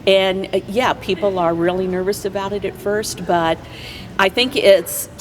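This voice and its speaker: A woman guest in a conversational interview, explaining things agreeably in halting phrases. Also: mid-range voice, fairly even intonation. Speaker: community theater player, interview guest. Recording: faint noise and background ambience.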